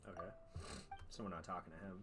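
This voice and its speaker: A man's voice: deep voice, little pitch movement, fast talker, very noisy. A man giving casual live game commentary, muttering reactions to himself. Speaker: game streamer. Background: game music, keyboard typing.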